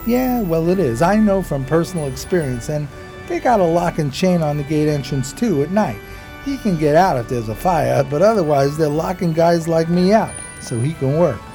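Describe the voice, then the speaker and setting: A man's voice: on the high side, animated, moderate pace, warm tone, soft background music. An actor playing a simple, loyal, streetwise homeless man of 1920s New York, talking in folksy slang for radio theater. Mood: chatty, matter-of-fact.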